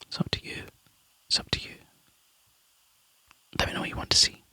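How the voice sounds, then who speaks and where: A Scottish man chatting to viewers in a soft, rambling ASMR whisper, close to the mic. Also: a little fast. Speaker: ASMR YouTuber.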